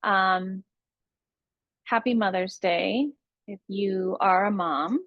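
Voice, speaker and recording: A woman teaching a guided yoga nidra meditation, giving soothing, unhurried instructions with long pauses between phrases. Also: mid-range voice, dry recording, dull audio.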